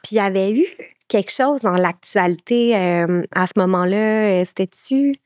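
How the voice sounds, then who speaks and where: A woman's voice: mid-range voice, moderate pace, darkish tone. A woman in her thirties with a Québécois French accent, a podcast guest speaking in casual conversation, thoughtful and searching, with frequent fillers and restarts.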